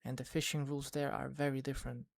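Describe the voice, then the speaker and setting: A man's voice: medium pitch, flat delivery, fast, vocal fry. A man from Sweden, a fishing vlogger recapping events in a casual, rambling voice-over full of restarts. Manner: rambling, many restarts and fillers.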